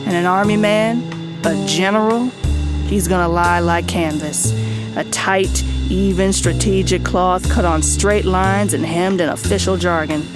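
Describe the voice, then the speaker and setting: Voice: mid-range voice, fairly even intonation, measured pace, lightly acted. Actor doing a tough wry noir detective, streetwise war vet, radio play style, music playing under it. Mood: reflective. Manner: wry, folksy, streetwise hardboiled talk. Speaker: lead character and narrator. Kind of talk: noir radio drama dialogue and narration. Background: background music.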